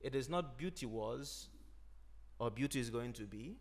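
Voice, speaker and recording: Gravelly-voiced man, thoughtful. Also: medium pitch, lively intonation. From Nigeria. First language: Igbo. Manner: thoughtful, unhurried, frequent "you know". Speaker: podcast host. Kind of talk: reflective conversation.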